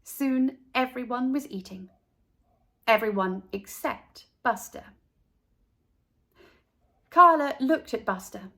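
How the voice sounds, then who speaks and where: A woman storyteller reads a picture book to children. Her voice is on the high side, and she goes slowly and deliberately, with expressive phrasing. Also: creaky.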